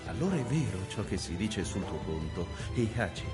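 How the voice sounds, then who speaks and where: A man's voice: lowish voice, very animated, fairly quick, over loud music. A man voicing a proud, elegant exorcist leader in a video game, formal and defiant in manner. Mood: proud, wary. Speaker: game character voice actor. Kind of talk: dramatic Italian game cutscene acting.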